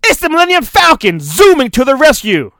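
A man hosting a podcast, giving a mock-dramatic storybook reading and breaking into jokey, deadpan asides. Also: extremely high pitch, fairly quick, no room sound, clean studio sound. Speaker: podcast host.

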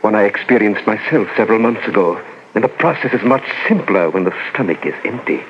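Man playing a deep-voiced, coolly menacing mad doctor. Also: animated, close to an everyday voice. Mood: coolly condescending. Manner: formal, measured, condescending. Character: menacing, superhumanly heavy male mad doctor, coolly polite. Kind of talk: 1940s radio horror acting. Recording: muffled noisy 1940s radio recording.

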